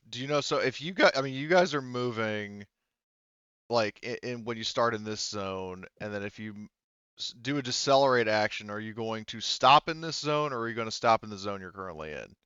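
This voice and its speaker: Deep smooth guy game mastering a tabletop rpg, explains rules, backtracks a bit, dry kinda muffled mic. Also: expressive, normal speed, a bit bright, dry recording.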